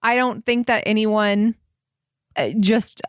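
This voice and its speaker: A woman's voice: on the high side, steady tone, takes it slowly, clear, resonant tone, dry recording, studio quality. A woman co-hosting a podcast, chatting casually in an easygoing, giggly way. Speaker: podcast co-host. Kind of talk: casual chat.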